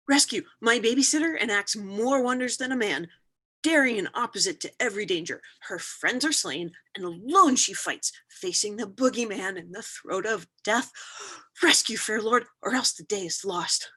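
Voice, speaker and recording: Woman playing a frightened husky-voiced little boy. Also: mid-range voice, expressive, normal speed, pushed and bright. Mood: desperate pleading. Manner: urgent, breathless, pleading. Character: frightened small boy pleading for rescue. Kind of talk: theatrical Shakespearean staged-reading acting.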